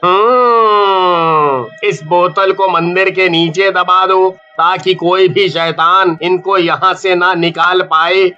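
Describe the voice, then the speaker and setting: A man playing a stern, commanding village priest in a cartoon folk tale, deliberate, on a dull track. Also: sits high, fairly even intonation, sharp tone, soft background music, exaggerated voice.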